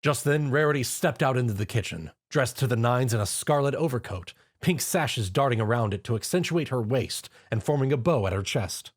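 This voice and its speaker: Expressive male storyteller. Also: medium pitch, a little fast, few pauses. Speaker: narrator. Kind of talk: reading fan fiction aloud.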